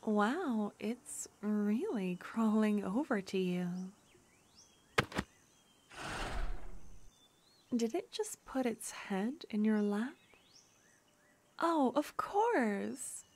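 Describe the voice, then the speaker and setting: A woman doing a gentle necromancer girlfriend, soft whisper, unhurried, ASMR roleplay. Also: a little high, animated, noisy background. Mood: delighted. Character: tender young woman necromancer, loving girlfriend.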